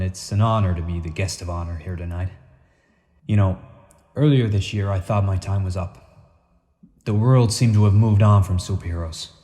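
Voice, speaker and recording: A man's voice: deep voice, dark tone, lightly acted. A man playing an earnest, humble, plain-spoken superhero in a radio play. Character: earnest, folksy male superhero, part shark, humble and noble. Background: music.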